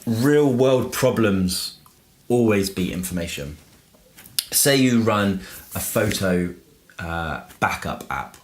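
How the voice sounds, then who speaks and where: A man giving an informal review in an explanatory way, using everyday examples and questions. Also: deep voice. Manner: explanatory, uses everyday analogies and rhetorical questions. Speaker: book reviewer and software business owner. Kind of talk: informal book review to camera.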